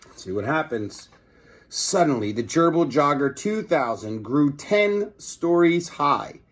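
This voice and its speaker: A man's voice: fairly high pitch, lively intonation, unhurried. A man reading a children's book aloud like a teacher, with casual asides to his listeners.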